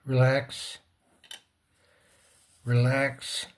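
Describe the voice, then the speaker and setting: A man presents a casual repair tutorial at a very unhurried pace, with a middling pitch. His speech comes in short, halting fragments with pauses between them, as if talking partly to himself while his hands are busy. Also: completely flat delivery. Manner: talks to himself and the object. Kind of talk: casual hands-on demonstration, muttering while working.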